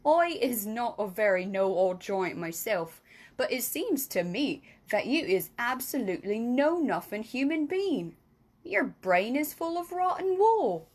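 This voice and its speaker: A woman voicing a kindly, very old male giant in a storybook reading, booming and drawn out, mangling his words and grammar. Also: very animated, a little slow, darkish tone. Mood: scornful.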